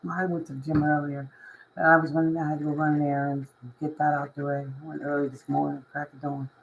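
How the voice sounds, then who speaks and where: Woman, raspy hoarse voice, casual spiritual vlog, emphatic, repetitive. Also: low voice, flat delivery, fast, dull audio, slight background noise. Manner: emphatic and repetitive, constant "you know what I mean". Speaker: spiritual reader and life coach. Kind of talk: casual motivational monologue to camera.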